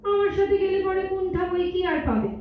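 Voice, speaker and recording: A woman playing a sharp-tongued young woman in love, speaking rhymed verse in a teasing, theatrical sing-song for a stage drama. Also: very high-pitched, animated, fast. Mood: jealous, sarcastic. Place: large multipurpose hall, on stage. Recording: echoey hall, distant mic, dull sound.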